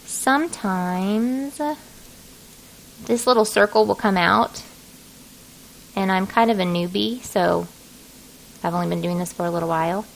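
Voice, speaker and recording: A woman narrating an informal software tutorial, talking casually and thinking aloud as she works. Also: medium pitch, measured pace, gravelly, pauses often, poor audio. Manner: casual, folksy, thinking aloud while working. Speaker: craft design software tutorial host.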